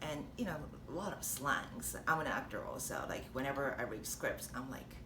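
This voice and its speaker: A young woman with a Japanese accent, chatting warmly and encouragingly to camera, laughing often. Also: a bass voice, lively intonation, normal speed. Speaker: vlogger and language teacher. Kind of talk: casual encouraging talk to camera. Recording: echoey room with faint noise.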